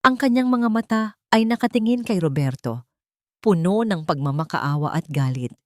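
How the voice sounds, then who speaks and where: A woman reads a dramatic story as its narrator. Her voice sits a little low for a woman. The recording is dry, with very little room sound. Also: animated, normal speed, a little mellow, dry recording.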